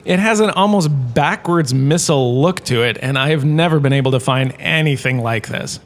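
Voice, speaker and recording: A man performs an enthusiastic male college lecturer in a comedic audio drama. His voice sits a bit high, and he talks in a chatty, showy way, like a former star who enjoys the podium. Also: moderate pace, close to an everyday voice. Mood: enthusiastic, informative. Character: washed-up holodrama star turned enthusiastic, quirky university lecturer.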